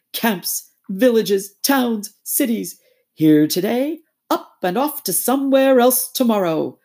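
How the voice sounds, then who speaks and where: Woman putting on a boastful male toad, storybook, high and excitable, gravelly. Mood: rhapsodic. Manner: gushing, exclamatory, wheedling. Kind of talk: storybook reading.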